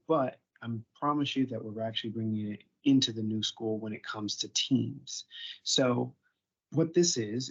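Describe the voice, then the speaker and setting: A man giving an informal software tutorial as a presenter, explaining step by step and often rephrasing himself. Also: mid-range voice. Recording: dull, muffled online meeting audio.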